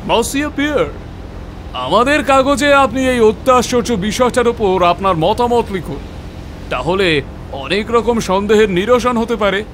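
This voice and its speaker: একজন পুরুষ অভিনেতা অডিও নাটকে এক ভদ্র, আগ্রহী সাংবাদিকের চরিত্রে বিনীত অনুরোধের সুরে কথা বলছেন।. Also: male voice, high-pitched, expressive, a little slow, fairly bright tone, a little hiss or hum. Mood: courteous, urging. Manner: polite, urging. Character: earnest, courteous male newspaper reporter. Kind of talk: audio drama acting.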